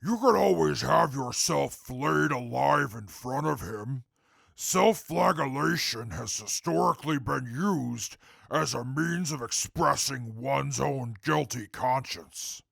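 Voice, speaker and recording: A man playing a haughty, coldly formal wasteland companion with a gravelly voice and dry condescension. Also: mid-range voice, lively intonation, slow pace, a bit bright, no echo, full character voice. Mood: coolly mocking. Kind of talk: post-apocalyptic audio drama acting.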